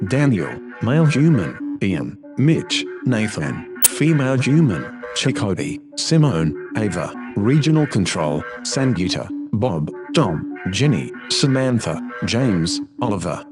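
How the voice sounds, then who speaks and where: A man's voice: lowish voice, sing-song, takes it slowly, few pauses. A man reading scripted titles and credits as the announcer of an audio production. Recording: music under the voice.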